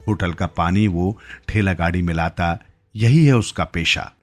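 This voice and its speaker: A man's voice: low-pitched, unhurried, soft background music. A man narrating a scripted literary story as a storyteller.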